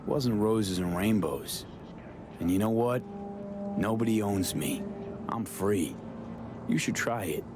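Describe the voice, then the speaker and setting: A man's voice: lowish voice, slow pace, long pauses. A man playing a cynical, free-spirited street mercenary in a video game, with an American accent and clipped, casual, wry phrasing. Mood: defiant. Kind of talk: cinematic video game acting. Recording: music under the voice.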